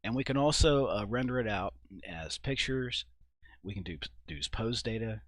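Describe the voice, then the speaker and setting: A man with a fairly low voice talks through a screen-recorded software demo. He is informal and halting, thinking aloud as he goes. The sound is fairly dry, as recorded indoors at a computer. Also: expressive, no room sound. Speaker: video creator and host.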